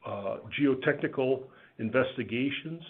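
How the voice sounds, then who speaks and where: A man giving a measured, matter-of-fact business presentation as a company executive on a conference call, over a phone line. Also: medium pitch, breathy edge. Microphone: telephone conference line. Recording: tinny, band-limited phone line.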